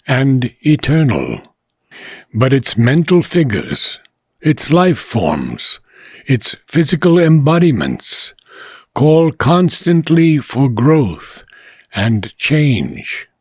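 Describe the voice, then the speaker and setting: A man reading a philosophical book aloud, in deliberate, measured phrases with frequent pauses. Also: a little high, sing-song, a little mellow, no room sound, studio quality. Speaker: audiobook reader.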